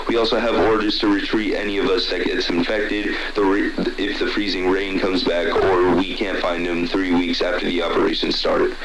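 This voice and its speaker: Man acting deep, gruff military commander. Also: poor audio. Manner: blunt, commanding, swearing. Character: gruff, determined military commander rallying troops to rescue a soldier. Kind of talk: radio message acting in audio horror.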